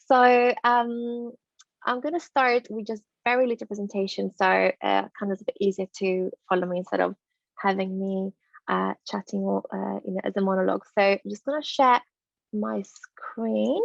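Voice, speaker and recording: A woman's voice: medium pitch, steady tone, moderate pace, vocal fry, no echo, highs cut off. A woman from northern Italy with an Italian accent, a dentist giving an online masterclass in a chatty way, full of fillers and restarts. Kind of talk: online presentation on a video call. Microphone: video call.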